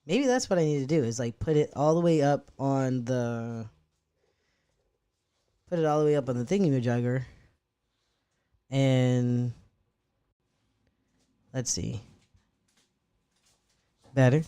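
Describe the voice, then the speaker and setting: A man's voice: slow pace, long pauses, mellow, clean tone. Casual, profane young man streaming live. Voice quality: medium-pitched voice, neither deep nor high. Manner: rambling, loose and profane, talking to chat.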